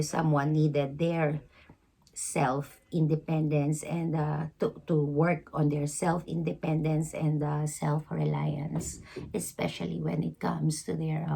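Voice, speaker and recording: A woman with a hoarse, deeply gravelly voice gives a tarot reading, her tone low and flat. She talks slowly and haltingly, filling pauses with soft hesitations. Her voice is husky and mellow. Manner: slow, halting, many filler pauses. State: losing her voice. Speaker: tarot reader.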